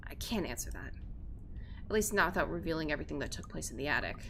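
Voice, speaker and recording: A woman's voice: deep voice, expressive, quick pace, a bit bright, a little hiss or hum. A woman streamer reading a visual novel aloud with theatrical, casually sweary live commentary. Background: game music.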